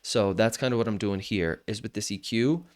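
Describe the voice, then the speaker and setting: A man's voice: fairly low pitch, moderate pace, a little mellow. A man teaching vocal mixing in a casual, loose tutorial from a home studio, often restarting his sentences as he explains. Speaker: mixing instructor. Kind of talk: casual screen-share tutorial.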